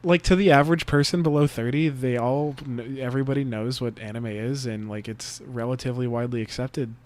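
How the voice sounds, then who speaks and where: A man's voice: mid-range voice, steady tone, fairly quick. A man in his twenties co-hosting a podcast, chatting casually with lots of fillers and crude jokes. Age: 20s. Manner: laid-back, filler-heavy, crude joking. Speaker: podcast co-host. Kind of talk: casual chat.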